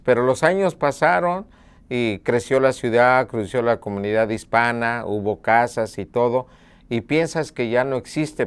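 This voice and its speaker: A middle-aged man from Mexico, a local media director, telling his story in an earnest, measured documentary interview. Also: mid-range voice, clean tone. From Mexico (Puebla). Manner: earnest, measured, with hesitation fillers.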